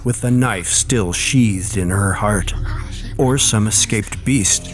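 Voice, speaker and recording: A man's voice: fairly low pitch, takes it slowly. A man reading a horror story as narrator, in a dramatic, theatrical and ominous manner. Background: music and storm ambience.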